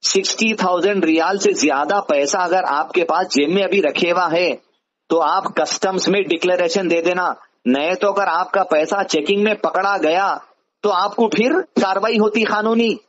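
Man, Hyderabadi accent, high voice, didactic explaining, rhetorical questions, lo-fi call recording. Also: normal speed, a bit bright. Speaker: advisor answering a caller. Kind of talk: explanatory phone conversation. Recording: dull lo-fi phone call recording.

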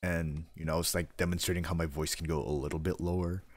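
A young man streaming a hunting game, chatting in a deadpan, rambling way from his home desk. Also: deep voice, fast talker, no echo. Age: young adult. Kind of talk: casual chat while gaming. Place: home room, seated.

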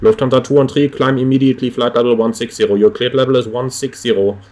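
A man's voice: medium pitch, level tone, fast, a little mellow. A man giving clipped, procedural radio instructions as a flight simulator air traffic controller, with brief asides to viewers.

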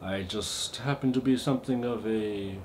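A man playing a genteel vampire host with a mock Eastern European accent in a comic monologue, halting and overly polite, with awkward deadpan asides. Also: fairly low pitch, slight background noise, close to an everyday voice. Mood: courtly welcome.

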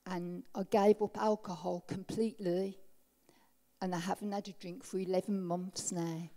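A woman's voice: lowish voice, level tone, moderate pace, warm tone. A middle-aged woman giving her personal testimony at a baptism into a handheld mic, heartfelt and emotional. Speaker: baptism candidate. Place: large church hall with stage. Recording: long hall reverb.

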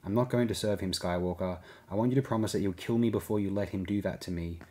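A guarded, bitter woman in an audiobook, voiced by a male narrator in his own deep register. She speaks low and curt, with hard intensity. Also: fast talker, darkish tone, lightly acted. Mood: desperate resolve. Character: tough, guarded, bitter woman, quick to snap.

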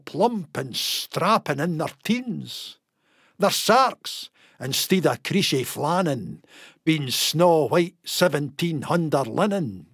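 A man with a Scottish accent giving a theatrical, expressive dramatic recitation of a narrative poem. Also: a little high, creaky, husky, no echo, studio quality. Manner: theatrical, expressive storytelling delivery. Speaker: poetry reader.

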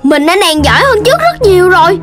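A woman's voice: sing-song, fast talker. A woman voicing an eager, dreamy little boy puppy in a children's cartoon, with a childlike, self-assured voice. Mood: eager, boastful. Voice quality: high, childlike. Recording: music playing behind the voice.

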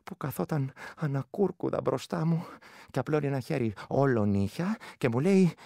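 A man's voice: mid-range voice, expressive, gravelly, dry recording, studio quality. A man playing a rural Greek village soldier in a radio play, recounting his terror in earthy dialect with a rural accent. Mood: frightened recounting. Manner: earthy dialect storytelling, shifting between hush and outburst. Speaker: actor. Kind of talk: dramatic first-person storytelling in a radio play.

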